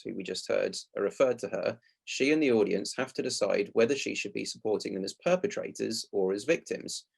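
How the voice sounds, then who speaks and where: Guy doing a prepared talk about a play, explaining stuff, lowish voice w lots of fry. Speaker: speaker presenting a play.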